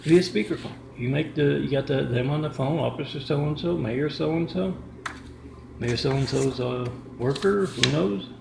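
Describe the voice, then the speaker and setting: Gravelly-voiced man rambling to camera. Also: a little high, measured pace, echoey room, noisy background. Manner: rambling, restarts often, reads out numbers and addresses. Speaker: vlogger. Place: indoor apartment with a kitchen.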